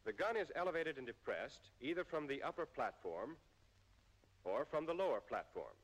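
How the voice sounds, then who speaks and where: Higher-pitched American male narrator, reverberant film sound. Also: sing-song, slightly rough. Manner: formal, measured, precise enunciation. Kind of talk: scripted military instructional narration, 1940s newsreel style.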